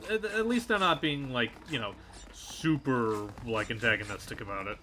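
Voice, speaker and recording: A man who streams and voice acts, chatting casually in a halting way with repeated words. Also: medium pitch, lively intonation, pushed and bright, low-quality recording. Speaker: streamer and voice actor. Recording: music behind the voice.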